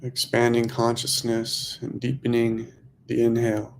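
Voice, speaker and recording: A man leading a guided meditation as a teacher, speaking slowly and softly in short phrases with long pauses. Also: medium pitch, completely flat delivery, slight background noise, rough recording. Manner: slow, hushed, long pauses between phrases.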